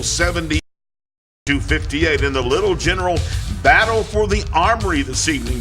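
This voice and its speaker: A man reading high school basketball scores on a live radio show in a steady, businesslike way. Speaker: radio sportscaster.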